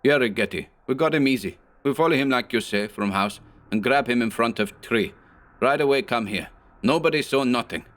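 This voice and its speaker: A man voicing a big, hog-necked thug who is superstitious and frightened and who speaks in halting, broken English. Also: lowish voice, animated, fairly bright tone. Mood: boastful.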